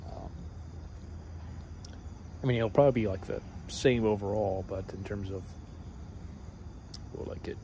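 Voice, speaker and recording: Man in his thirties, low voice, halting vlog ramble, night crickets loud in the background. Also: fairly even intonation. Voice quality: fairly deep voice. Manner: hesitant, full of fillers and trailing thoughts. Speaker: vlogger. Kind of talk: rambling casual monologue. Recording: loud cricket noise behind voice. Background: crickets and insects at night.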